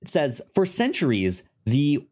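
A man co-hosting a casual review podcast, talking informally and haltingly, often stuttering and repeating syllables. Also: fairly high pitch, wildly swooping pitch, a little mellow. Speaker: podcast co-host. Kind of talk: casual review show chat.

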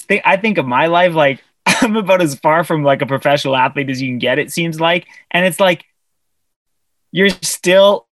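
A man's voice: high-pitched, a bit bright, no echo. A man co-hosting a podcast who chats casually and enthusiastically, laughing and using slang.

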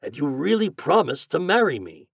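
A man's voice: lowish voice, very animated, moderate pace, breathy edge, no echo, clean studio sound, distant mic, heavily acted. A man voicing a poor, lovelorn glassblower in a storybook, plaintive and earnest. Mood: pleading.

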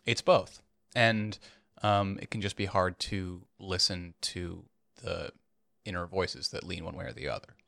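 A man in his thirties co-hosting a podcast, halting and agreeable in casual chat. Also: low-pitched, steady tone, creaky, fairly bright tone, dry recording, clean studio sound. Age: 30s. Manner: halting, repeats words, agreeable. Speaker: podcast co-host.

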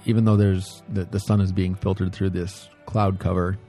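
A man's voice: low voice, flat delivery, moderate pace, warm tone, soft background music. A middle-aged man recording a casual, rambling audio diary indoors on a close microphone, musing hesitantly and repeating himself in short phrases. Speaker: host of a personal audio log. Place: indoors at home.

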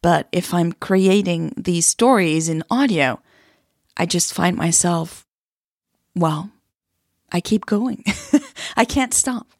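A woman's voice: lowish voice, no echo, studio quality. Woman podcast storyteller, takes her time, informal, bit of room sound. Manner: playful, self-deprecating, informal. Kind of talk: scripted story narration and casual chatty podcast hosting.

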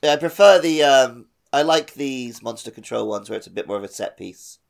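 A man with a gravelly, creaky voice and a lively swing in pitch. He chats casually as a podcast co-host, wry and given to acting out little remarks. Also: brisk. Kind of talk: casual gaming podcast chat.

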